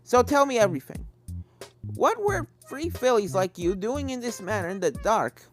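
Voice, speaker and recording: A woman's voice: on the high side, big pitch swings, moderate pace, voice pushed up. A woman voicing a brave, kindly old male unicorn ghost hunter in a story reading, hearty and reassuring, with music behind. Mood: friendly, curious. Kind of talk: fan fiction story reading.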